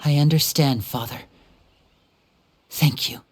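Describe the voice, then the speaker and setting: A man playing an earnest, devoted young heir in a video game, respectful and soft-spoken, humble but resolute. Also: a little high, slow pace, slight background noise.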